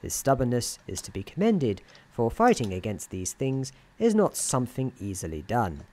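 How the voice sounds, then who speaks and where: Soft-spoken man narrating with lively intonation. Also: mid-range voice. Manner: dryly wry, deliberate storybook narrator addressing his character. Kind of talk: soft-spoken ASMR roleplay, scripted narration. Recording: keyboard typing and clicking noise. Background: keyboard typing, clicks.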